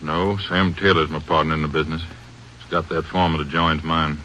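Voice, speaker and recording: An American actor playing a weary, folksy small-town feed merchant in an old radio drama, talking plainly and a little hesitantly. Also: male voice, extremely low pitch, little pitch movement, moderate pace, bright, forceful tone. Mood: folksy, uneasy. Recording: muffled old radio recording, faint noise.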